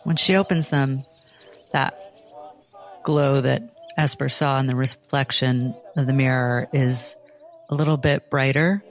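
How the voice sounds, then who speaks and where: A woman playing in a tabletop roleplaying game, narrating and speaking in character in a guarded, deliberate way. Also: extremely low pitch, fairly even intonation.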